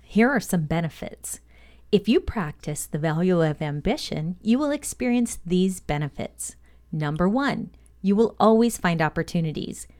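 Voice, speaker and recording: A woman presenting a scripted motivational podcast lesson, reading aloud in a measured, deliberate, instructional way. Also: on the low side, animated, a little mellow.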